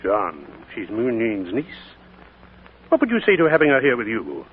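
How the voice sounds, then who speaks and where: A gentle, earnest vicar. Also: male voice, on the low side, wildly swooping pitch, normal speed. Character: kindly middle-aged male vicar. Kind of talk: 1940s radio acting. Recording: tinny old radio broadcast with faint hiss.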